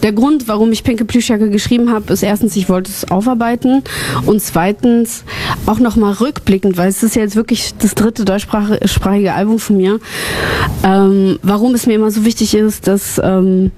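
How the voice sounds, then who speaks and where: A woman's voice: medium pitch, steady tone, normal speed, mellow, slight background noise. A woman born in Ukraine, a singer and songwriter, talking openly as an interview guest with lots of fillers. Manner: chatty, many fillers, open and personal. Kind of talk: casual personal interview.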